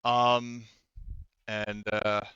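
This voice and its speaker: A man's voice: lowish voice, little pitch movement, very slow, sharp tone, smooth, no echo, highs cut off. A man acting as game master of a tabletop roleplaying game, talking casually and hesitantly as he searches for words.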